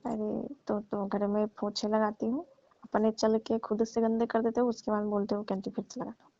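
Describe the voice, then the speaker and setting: A woman's voice: mid-range voice, level tone, normal speed, dark tone, dry recording, lightly acted. A woman playing a tired, aggrieved housemaid aunty in a comic phone-call skit, grumbling reproachfully in a familiar way. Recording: muffled lo-fi dry phone call recording.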